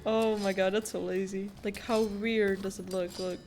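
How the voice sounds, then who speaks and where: A woman's voice: medium pitch, level tone, normal speed, a little mellow, slight background noise. A woman giving casual, sarcastic and critical gameplay commentary, with some swearing. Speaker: gamer commenting on a playthrough. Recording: game music behind the voice.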